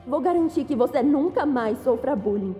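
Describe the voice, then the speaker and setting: A very high-voiced Brazilian schoolgirl played by a woman, chirpy and self-righteous, in an anime-style dub. She speaks earnestly with a calm menace underneath, over background music. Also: normal speed. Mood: determined. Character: cheerful, self-righteous schoolgirl turned justice devil contractor. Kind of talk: anime-style fan dub of a motion manga. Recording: music behind the voice.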